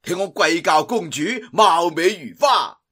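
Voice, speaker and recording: Guy doing a boisterous cantonese sword master for an audiobook, teasing and haughty, swoopy loud voice. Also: expressive, unhurried, slightly rough, exaggerated voice. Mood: mocking, demanding. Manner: booming, laughing, mocking.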